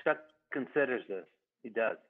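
A man's voice: mid-range voice, expressive, moderate pace, phone-quality sound. A man narrating stage directions at a comedy table read, informal, with stumbles and ad-libs.

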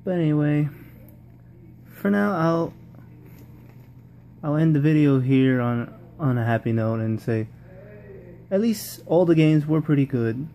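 A man's voice: on the high side, expressive, measured pace, long pauses, mellow, slight background noise. A man giving casual, rambling post-game football commentary, often repeating himself and restarting sentences. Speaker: football vlogger.

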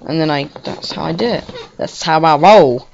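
A woman gives casual, rambling commentary while playing a game, excitable and quick to swear. Her voice sits a little low for a woman. It comes through a home computer mic that sounds slightly dull and lo-fi. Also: creaky, slight background noise. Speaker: gaming video creator. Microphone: home computer microphone.

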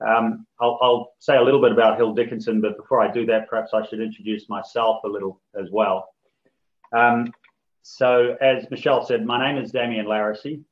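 A man from New Zealand, head of a law firm office, giving a measured, formal business presentation over a video call with frequent ums. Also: mid-range voice, steady tone, muffled recording.

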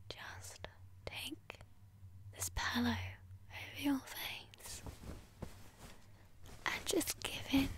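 A woman playing a ghostly, obsessive dead fiancée in a breathy, whispered ASMR roleplay, intimate, possessive and menacing. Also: on the high side. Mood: menacing, tender.

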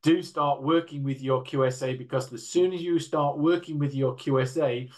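An older man giving a measured, explanatory slide presentation as a panel speaker on an indoor video call. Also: medium pitch, steady tone. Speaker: panel speaker from a standards council.

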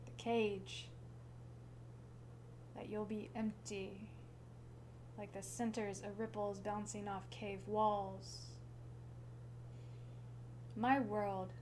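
A woman recites a slam poem of her own at a slow pace. Her pitch stays fairly flat as she sets out short phrases deliberately, with pauses between them. Clear background noise with a hum runs under the voice. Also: rough recording. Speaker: slam poet.

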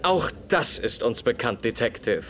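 A man playing a composed, authoritative gentleman sleuth in a German radio play, speaking with formal courtesy. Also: medium pitch, big pitch swings, moderate pace, slight background noise. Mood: insistent.

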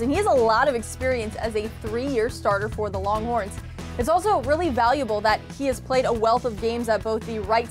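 A young woman anchoring a local TV sports show, reading scripted narration in a studio. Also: a little high. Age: young adult.

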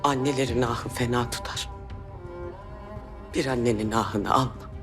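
A woman's voice: a bass voice, steady tone, unhurried, over music. An actress playing an earnest, reproachful woman in a TV drama, pleading emphatically for mercy. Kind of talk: TV drama acting.

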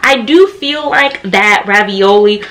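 A woman's voice: medium pitch, takes it slowly, a bit bright. An American woman in her thirties chatting informally and slangily to camera as a food vlogger, recorded at home on a camera mic. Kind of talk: casual chat to camera, food review. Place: home dining area and kitchen, indoors.

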